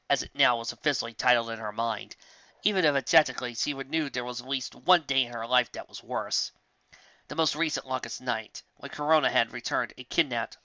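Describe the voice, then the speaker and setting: A man reading a fan fiction story aloud in an expressive storybook narration. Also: mid-range voice, no room sound, dull audio.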